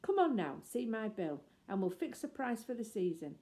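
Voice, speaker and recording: Storybook stallholder, played by a woman: working-class, coaxing, colloquial, excitable, raised pitch. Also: normal speed. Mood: excited, bargaining. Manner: colloquial, slangy, wheedling.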